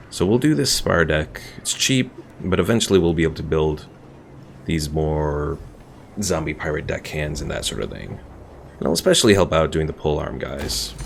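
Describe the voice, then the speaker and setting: A man's voice: rumbling low, vocal fry, a little hiss or hum. A man streaming a strategy game with casual live commentary, thinking aloud and reading chat.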